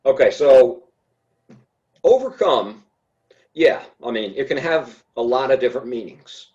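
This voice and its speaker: A man's voice: medium pitch, animated, unhurried, a little mellow, muffled recording. A man who stutters, speaking in a hesitant way with many fillers and restarts in a casual podcast conversation. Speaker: podcast guest.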